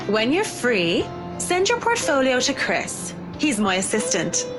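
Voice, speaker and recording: A poised female interior designer in a visual novel game. She talks in a composed, conversational way, with a fairly high and very varied pitch. Music runs underneath. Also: huge pitch swings, a little slow, poor audio. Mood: poised, friendly. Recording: music behind the voice.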